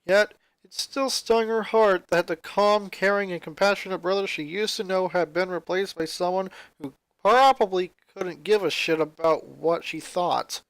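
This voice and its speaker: A man's voice: sits high, moderate pace, hardly any pauses, clean tone, dry recording, rough recording. A man reading fan fiction as a dramatic, scripted story narration.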